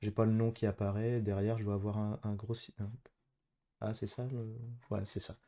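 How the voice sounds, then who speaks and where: Man with a dark, low voice, thinking aloud. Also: flat delivery, moderate pace. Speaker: gamer playing an online geography guessing match. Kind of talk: casual live gameplay commentary, thinking aloud.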